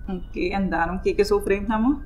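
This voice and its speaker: A woman's voice: medium pitch, fairly even intonation, a little fast, a little mellow, a little hiss or hum, natural voice. A woman playing a polite young woman hosting a date, in naturalistic film dialogue. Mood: friendly, guarded. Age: young adult. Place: dim living room indoors.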